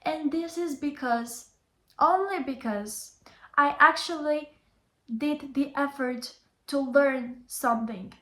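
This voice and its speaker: A woman's voice: a little high, fairly bright tone. A young adult woman, a Chinese tutor giving an earnest motivational vlog talk and repeating phrases for emphasis. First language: Bulgarian. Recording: roomy echo.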